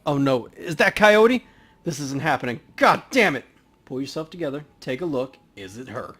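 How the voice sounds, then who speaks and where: A man voicing a tense, impulsive young street gang member in a video game, talking gruffly and urgently. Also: on the high side, very animated, moderate pace, a bit bright, full character voice. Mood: panicked.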